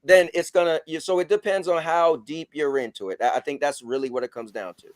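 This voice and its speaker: A man's voice: a little high, fairly quick. A man chatting casually who tells stories animatedly, acting out the voices he quotes. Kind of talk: casual podcast chat.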